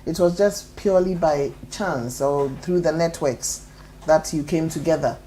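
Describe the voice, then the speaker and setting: A woman's voice: low-pitched, unhurried, warm tone, slight background noise, rough recording. A woman from Ghana, hosting an interview with brief, curious questions. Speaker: host.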